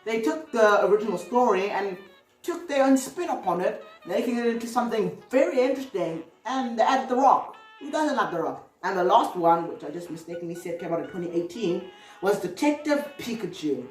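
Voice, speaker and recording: A woman with a mid-pitched voice hosts a casual commentary video. She talks in a loose, conversational way with hedging asides. The sound is roomy and echoey, with music playing behind her. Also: expressive, a little fast, distant mic. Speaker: video host.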